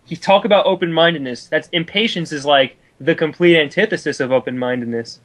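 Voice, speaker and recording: Male guest commentator, somewhat high voice, casual and halting, with a critical bent. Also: a bit bright.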